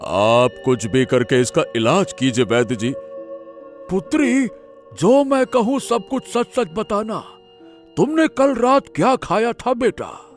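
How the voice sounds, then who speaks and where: Village elder for animated folk tale, male actor: grave, dramatic, slow, widely swinging intonation. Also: high-pitched, soft background music, heavily acted. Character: grave village elders, worried father and solemn healer. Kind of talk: dramatic cartoon story dialogue.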